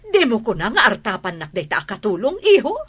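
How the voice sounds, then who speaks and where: Haughty Filipino mother, radio drama, high bright voice, indignant, scornful, hot-tempered. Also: big pitch swings, a little fast, husky, exaggerated voice.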